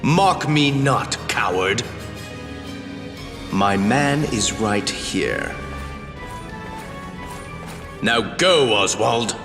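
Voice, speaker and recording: Give me an imperious, scheming lord in a game. He is pompous and slow, with dramatic swings of pitch in a reverberant hall. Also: sing-song, fairly bright tone, heavily acted. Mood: contemptuous. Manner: pompous, commanding. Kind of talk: dramatic video game voice acting. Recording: echoing hall reverb under music.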